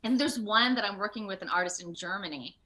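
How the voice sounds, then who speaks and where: A woman speaking as a voice actor guest in a casual, chatty interview, expressive and thinking aloud as she goes. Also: medium pitch, quick pace. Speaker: guest, video game voice actor. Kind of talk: casual animated interview chat. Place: remote video call.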